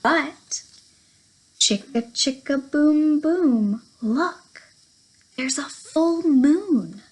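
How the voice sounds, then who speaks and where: Slow, sing-song woman reading to toddlers. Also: sits high. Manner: slow, sing-song and very animated, with playful whispers. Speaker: children's storytime presenter. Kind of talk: reading a picture book aloud to small children.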